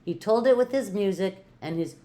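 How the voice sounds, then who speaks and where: An older woman, a retired teacher, reads a picture book aloud to children with playful, rhythmic emphasis and drawn-out words. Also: mid-range voice, lively intonation, warm tone.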